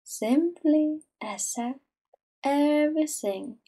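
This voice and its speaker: Female meditation guide, higher-pitched but mellow, gentle and unhurried, soft to near-whisper. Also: lively intonation. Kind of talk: slow soothing guided meditation.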